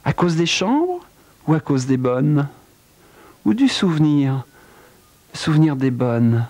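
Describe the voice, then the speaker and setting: A man telling a dark true-crime tale in a wry, theatrical way, with a cold. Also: very animated, slow. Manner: wry, theatrical storytelling with big pitch swings. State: has a cold. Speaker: narrator. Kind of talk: dramatic spoken narration.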